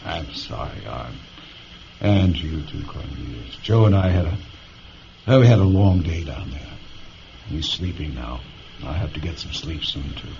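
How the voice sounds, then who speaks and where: A man playing a bitter, sharp-tongued, ailing psychic pilot in a science fiction radio drama, sarcastic and abrupt. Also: very deep voice, expressive, measured pace, creaky, slight background noise, full character voice. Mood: weary, subdued. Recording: muffled lo-fi audio with music behind.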